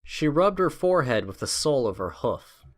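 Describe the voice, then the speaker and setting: Male narrator, dramatic scripted storytelling, animated intonation, mid-pitched. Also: moderate pace.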